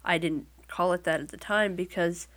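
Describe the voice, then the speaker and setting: A woman's voice: on the low side, moderate pace, fairly bright tone. A woman with a Midwestern American accent, talking casually as an interview guest and telling things in a wry, lightly joking way. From Midwestern United States.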